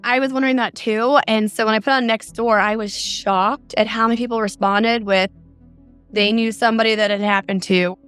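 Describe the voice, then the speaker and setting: A woman's voice: on the high side, steady tone, normal speed, a bit bright, soft background music. A middle-aged woman telling her own story as an interview guest. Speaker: interview guest, mother recounting a scam. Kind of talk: recounting a personal experience in an interview.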